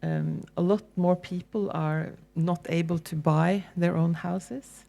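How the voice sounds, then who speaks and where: A woman with a Norwegian accent giving a relaxed, conversational public lecture as a university lecturer, with frequent ums and restarts. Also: fairly low pitch, slow, creaky. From Norway.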